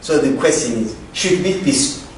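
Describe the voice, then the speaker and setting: A man's voice: a little high, animated, normal speed. A man with a Zimbabwean accent giving a public lecture, posing rhetorical questions and questioning his audience. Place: lecture hall. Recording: echoey hall, from far off, faint noise.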